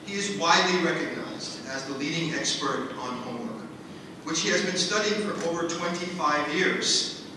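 A man's voice: on the high side, lively intonation, normal speed. A middle-aged man hosting a school event, delivering a formal prepared introduction of a speaker. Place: large open school hall at podium. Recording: echoey hall, distant, background noise.

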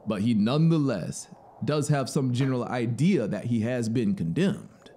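A man plays an inquisitive male traveler in a storybook tale. He questions with open disbelief, his pitch moving in a lively, animated way. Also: moderate pace, a little hiss or hum, natural voice. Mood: disbelieving. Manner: questioning, incredulous. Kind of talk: dramatised storybook reading.

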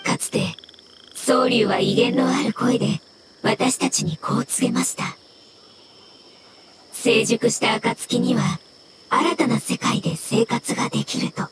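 Woman as a high-voiced, courteous mushroom spirit. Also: heavy background noise, close to an everyday voice. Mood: solemn. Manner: courteous, measured, formally polite speech. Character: polite floating mushroom spirit, gentle and formal. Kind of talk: scripted game dialogue.

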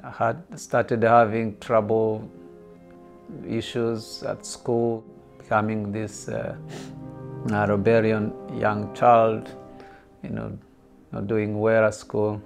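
A middle-aged man from Rwanda speaks slowly in a low, flat, gravelly voice. He hesitates and repeats words as he tells his story. Soft music plays underneath. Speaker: genocide survivor interviewee. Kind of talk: documentary interview testimony. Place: indoor living room.

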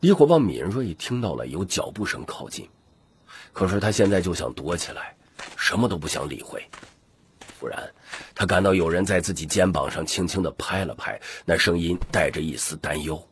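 A man's voice: low voice, animated, moderate pace, a little hiss or hum. A man reading dramatic scripted narration as an audiobook narrator.

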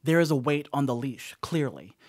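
A man's voice: medium pitch, moderate pace, close to an everyday voice. Man acting stoned dog sitter guy telling a creepy story, chatty wry asides, radio play monologue. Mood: tense, animated recounting. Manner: conversational, wry, animated asides. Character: stoned, rambling male dog-sitter confessing a spooky mishap. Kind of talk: first-person radio horror monologue.

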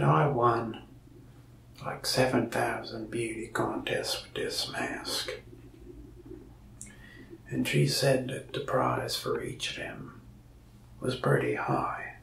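Gravelly, whispery young man, slow. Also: mid-range voice, a bit bright, roomy sound, distant mic, a little hiss or hum, rough recording. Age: young adult. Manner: whispery, folksy and rambling. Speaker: mask shop salesman in roleplay. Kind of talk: soft-spoken ASMR roleplay. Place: indoor room before a wall of masks.

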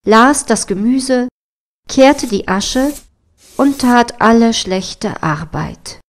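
A woman reading a fairy tale as a scripted storybook narration. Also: mid-range voice.

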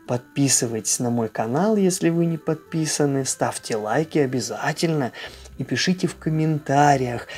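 A storyteller reads for children in an expressive way. The pace is slow and the pitch sits in the middle, with music playing behind the voice. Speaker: storyteller and channel host.

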